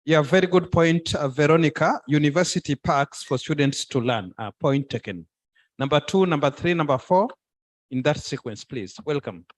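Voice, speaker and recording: Man, moderator, brisk, slightly higher voice, calls speakers in turn. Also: expressive. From Tanzania. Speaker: session moderator.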